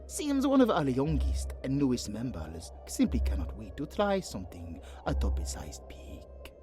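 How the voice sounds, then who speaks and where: Sly, swooping dark elf villain. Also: male voice, mid-range voice, huge pitch swings, moderate pace, soft background music. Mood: smug menace. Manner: silky, theatrical, mock-courteous drawl. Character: sly, mocking dark elf messenger of a demon king. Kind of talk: fantasy audio roleplay acting.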